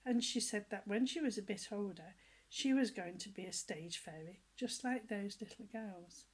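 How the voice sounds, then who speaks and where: An older woman with a soft, breathy voice reads a children's story aloud. She tells it gently and lively, sliding into hushed whispers for the exciting parts and asking her small listeners questions as she goes. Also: mid-range voice, moderate pace. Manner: talks directly to young listeners with questions. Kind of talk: children's storybook reading with personal asides.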